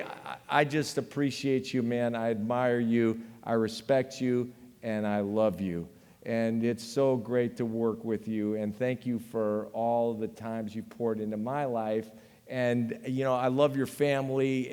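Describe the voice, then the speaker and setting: An American man presenting an award in a heartfelt tribute speech. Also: mid-range voice. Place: large banquet hall, speaking at a podium. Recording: long hall reverb from PA.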